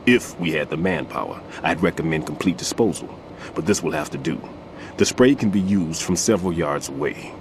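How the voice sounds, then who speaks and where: Very deep-voiced aging bomb expert. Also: male voice, animated, a little fast, background noise. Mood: instructive. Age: older. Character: aging retired bomb disposal expert, weary, authoritative mentor. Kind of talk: video game voice acting, explaining and briefing.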